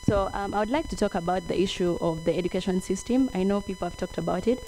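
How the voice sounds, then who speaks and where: A woman's voice: mid-range voice, quick pace, mellow, faint music behind, poor audio. A woman in her twenties from South Sudan giving an earnest, formal speech as a youth parliament delegate. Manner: earnest, formally addresses the house. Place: debate hall.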